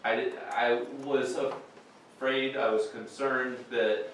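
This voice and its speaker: A man reads a translated account in a plain, measured voice, with the odd filler. The sound is very echoey and distant, with a faint hiss behind him. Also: medium pitch, flat delivery, a bit bright. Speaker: voice-over interpreter. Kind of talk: translated voice-over.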